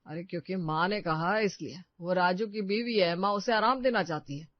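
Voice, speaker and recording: A man's voice: sits high, normal speed, no room sound, muffled recording, lightly acted. A man playing a weary young husband, dismissive and placating, in a family drama story.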